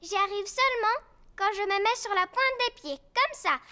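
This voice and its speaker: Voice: extremely high pitch, normal speed, bright, forceful tone. A performer voicing a cheerful, chatty little girl piglet in a French children's cartoon dub. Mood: earnest.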